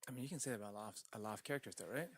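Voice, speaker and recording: A man's voice: mid-range voice, very fast, dry recording. A man co-hosting a podcast, chatting casually, arguing his point with questions and some swearing. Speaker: podcast co-host. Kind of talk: casual chat.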